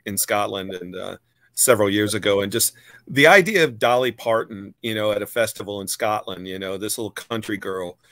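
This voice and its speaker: Thoughtful man host, low and dry. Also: fairly quick, fairly bright tone, dry recording. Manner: thoughtful, rambling, many fillers and restarts. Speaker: host, musician. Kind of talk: casual interview on a video call. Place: home room, indoors. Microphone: webcam on a video call.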